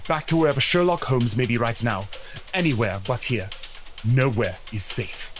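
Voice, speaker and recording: An earnest, harried inspector in an audio drama, played by a man, with a voice of middling pitch. He is grave and urgent, pressing each warning insistently. Faint music sits behind him. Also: lively intonation, moderate pace, close to an everyday voice. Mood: grave alarm.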